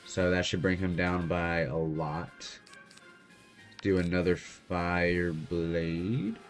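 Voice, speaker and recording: A soft-spoken young man casually commenting on his gameplay as host. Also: low-pitched, fairly even intonation, takes it slowly, soft background music. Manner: quiet, soft-spoken, laid-back. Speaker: gaming channel host.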